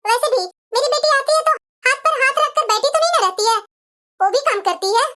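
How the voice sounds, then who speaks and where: A woman playing an older, caring and wounded mother-in-law in a scripted family story, speaking gently and plaintively. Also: very high-pitched, lively intonation, fast talker, heavily acted. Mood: calm, accommodating. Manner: gentle, lamenting.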